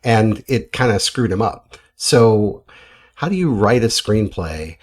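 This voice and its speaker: An American man with a husky voice, hosting a casual conversational interview. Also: fairly low pitch, animated, slow. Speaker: podcast host.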